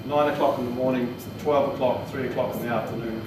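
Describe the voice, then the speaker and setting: A man with a New Zealand accent presents technical training in an informal, plain-spoken way. He is picked up from far off in a very reverberant room, with clear noise behind him. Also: medium pitch, steady tone, quick pace. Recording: echoey room, distant mic, noisy.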